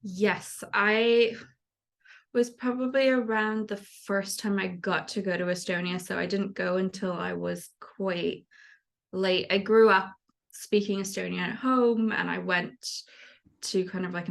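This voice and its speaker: Gravelly-voiced woman chatting about her craft. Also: medium pitch. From United States. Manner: relaxed, rambling, frequent fillers and light laughs. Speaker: knitwear designer guest. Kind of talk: casual interview chat.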